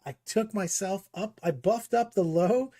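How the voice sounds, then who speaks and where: Podcast co-host, male, slightly high-pitched, emphatic and talky. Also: a little slow, mellow. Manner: emphatic, repeats words when starting points. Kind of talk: casual gaming discussion. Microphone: studio mic.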